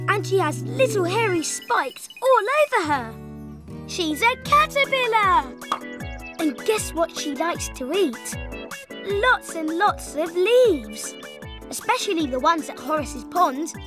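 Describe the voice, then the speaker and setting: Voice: very high-pitched, heavily acted. An actor voicing a cheerful, curious young cat in a children's cartoon, friendly and sing-song, talking directly to young viewers. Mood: friendly, explaining. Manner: bright, clearly paced, sing-song, asking the viewer.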